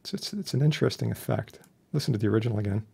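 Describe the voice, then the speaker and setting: A man instructing in an informal software tutorial, chatty and plain-spoken with a wry streak. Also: on the low side, very fast, vocal fry, loud music behind the voice. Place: indoors, voice-over for a screen recording.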